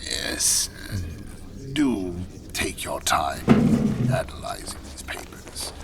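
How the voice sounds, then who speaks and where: Gravelly, wily envoy in a reverberant hall. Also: male voice, low voice, very animated, takes it slowly, heavy background noise, full character voice. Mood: wry, breathy. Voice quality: gravelly, raspy. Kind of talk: full cast audio drama acting.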